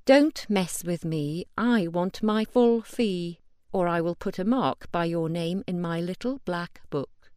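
A woman's voice: mid-range voice, expressive, measured pace, darkish tone, smooth, no room sound, clean studio sound, natural voice. A woman playing a cold, menacing female assassin. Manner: clipped, threatening.

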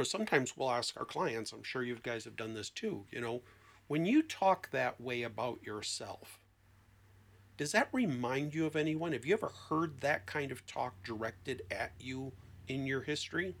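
A man's voice: medium pitch, very animated, normal speed, creaky, a bit bright. A man talking as a therapist guest in a conversation, explaining things emphatically with illustrative examples.

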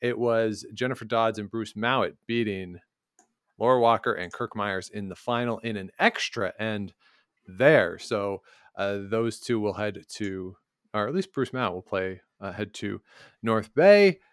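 A man's voice: fairly low pitch, a little slow, clean tone, no echo, clean studio sound. A man with a Canadian accent co-hosting a casual sports talk show and recapping results. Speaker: podcast co-host.

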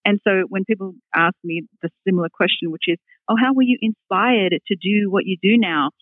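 A woman's voice: medium pitch, a little fast, dry recording. A middle-aged woman with an Australian accent talks as an interview guest, articulate and upbeat. Her voice comes through a thin, phone-like line. The sound is close and dry, with no room around it. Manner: articulate, upbeat, laughs readily. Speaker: public speaking coach, guest. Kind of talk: casual interview answers.

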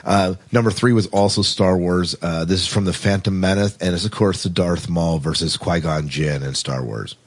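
A man's voice: sits low, moderate pace, hardly any pauses. A man co-hosting a podcast in a casual, informal, conversational way. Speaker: podcast co-host.

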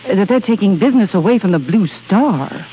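An actress playing a sensible, fond older sister, prim and gently chiding, in 1930s radio drama style. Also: female voice, mid-range voice, expressive, a little fast, breathy edge. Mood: concerned, chiding. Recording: tinny, hissy 1930s radio recording.

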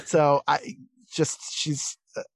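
A man's voice: on the high side, flat delivery, slow pace, no echo. Man chatting casually, close dry sound. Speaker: voice actor, podcast guest. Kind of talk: casual interview chat, anecdotes.

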